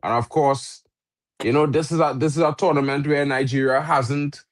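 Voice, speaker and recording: Male football co-host, non-native English speaker, pitch a bit high, casual slangy live commentary. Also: fairly even intonation, normal speed. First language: not English. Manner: slangy, says "bro" often.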